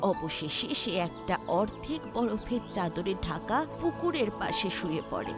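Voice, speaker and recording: A woman narrating a scripted children's story with an expressive storybook delivery. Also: mid-range voice, moderate pace, music in the background.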